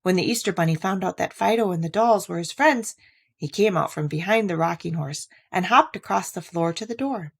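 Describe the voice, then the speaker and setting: A woman narrating a children's story from a book in an expressive, deliberate read-aloud manner. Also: lowish voice, vocal fry, husky. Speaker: storybook reader.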